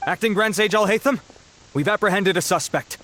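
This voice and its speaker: A man voicing a formal, businesslike adult male security officer in a video game, speaking briskly. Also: high voice, pushed and bright, a little hiss or hum. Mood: reporting. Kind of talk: game cutscene voice acting.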